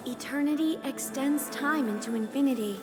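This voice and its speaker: An actress playing a gentle, selfless goddess in a video game, speaking softly and solemnly in a tender farewell manner. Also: female voice, high voice, in a large hall, lightly acted. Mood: solemn, uplifting. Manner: soft, solemn, tender, measured. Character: gentle, selfless divine woman, fading spirit saying farewell. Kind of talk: dramatic video game voice acting. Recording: music behind the voice.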